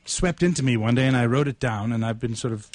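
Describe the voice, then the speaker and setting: A man's voice: medium pitch, fast, a little mellow, no echo. A man hosting a radio show in casual chat, reflective and often repeating words as he goes. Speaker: radio show host and writer.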